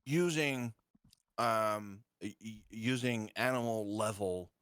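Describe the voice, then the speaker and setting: Young male player, lowish voice, dry close sound, halting questions. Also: a bit bright, clean tone, no echo. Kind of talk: casual chat around a tabletop game.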